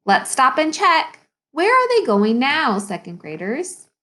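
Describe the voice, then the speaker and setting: A woman with a fairly high voice reads a picture book to young pupils as their teacher. Her pitch moves up and down in a very lively way. She takes her time and stops to explain or question in an instructive manner. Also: measured pace. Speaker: elementary school teacher.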